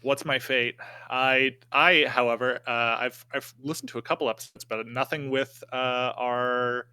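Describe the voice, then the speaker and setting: Male tabletop player, mid-pitched, bright, dry sound, hesitant casual table talk. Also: fairly even intonation, measured pace, smooth, no room sound. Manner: hesitant, thinking aloud. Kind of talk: casual game-table chat.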